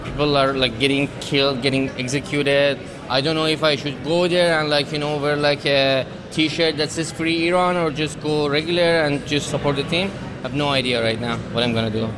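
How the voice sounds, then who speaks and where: A man's voice: on the high side, steady tone, moderate pace, crowd noise. A man talking hesitantly with many fillers, unsure about the game. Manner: hesitant, filler-heavy talk. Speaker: soccer fan.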